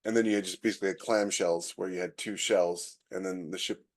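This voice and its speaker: A man speaking as a podcast co-host in casual chat, halting as he thinks aloud. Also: low-pitched, fairly even intonation. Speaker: podcast co-host, science fiction author. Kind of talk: casual chat about sci-fi writing.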